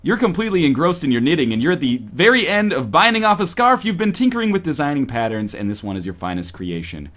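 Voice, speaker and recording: A man's voice: a little high, animated, fast, hardly any pauses, fairly bright tone. A man reading a story aloud on a stream in a playful, mock-dramatic way with joking asides.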